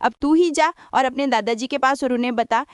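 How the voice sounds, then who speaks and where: A woman's voice: sits high, brisk, clear, resonant tone, dry recording, studio quality, natural voice. A woman playing a resigned, aggrieved elder daughter-in-law and mother in a Hindi family audio story. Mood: wistful, aggrieved.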